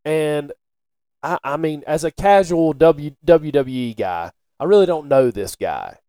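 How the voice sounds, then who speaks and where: A man's voice: fairly high pitch, animated, measured pace, dark tone, clear, resonant tone, dry recording, studio quality. A middle-aged man, co-hosting a casual podcast about sports and local news. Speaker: podcast co-host.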